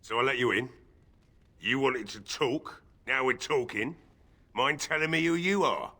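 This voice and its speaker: A man's voice: medium pitch, measured pace, bright, forceful tone. A man acting a grieving, drunken, bitter village blacksmith in a fantasy video game, with a weary, sardonic drawl. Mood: wry, suspicious. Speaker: voice actor in a fantasy role-playing game.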